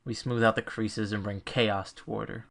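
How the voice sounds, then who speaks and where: Guy acting a strict short-fused mentor, sharp forceful voice, lecturing, visual novel dub style. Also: lowish voice, flat delivery, moderate pace, creaky. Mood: frank, serious. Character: stern, short-tempered male mentor to a young trainee.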